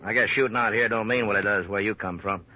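An actor playing a seasoned, laconic small-town sheriff with an American accent and a folksy way of talking, in a radio drama. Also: male voice, lowish voice, fast, lightly acted. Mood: laconic, easygoing. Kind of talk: 1950s radio drama acting. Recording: muffled, noisy old radio recording.

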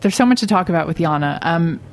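Woman radio host, chatty and friendly, lowish voice, casual interview. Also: animated, fast. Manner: friendly, chatty, many fillers.

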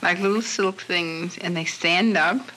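Bright-voiced American woman chatting, 1950s tape. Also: fairly low pitch, unhurried, poor audio. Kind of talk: casual chat. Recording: 1950s portable recorder, light noise.

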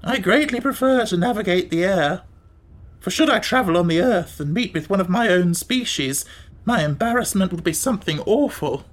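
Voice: mid-range voice, expressive, normal speed, voice pushed up, close to an everyday voice. A gloomy, careless storybook creature, acted out by a narrator, speaks in a raised voice. It sounds mournful and aloof, as if resigned to serving others. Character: gloomy, aloof flying creature with an animal head.

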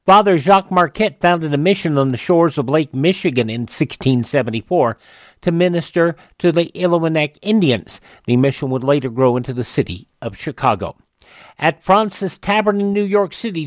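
A man with an American accent hosting a radio show, presenting history items in a measured, semi-scripted way. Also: fairly high pitch, animated, dry recording, studio quality.

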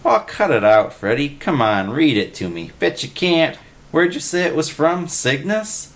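Pitched-up, breathless street pal, slangy and pleading, voiced by a male narrator. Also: very animated, measured pace. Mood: badgering. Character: excitable simple young man Willie, street pal.

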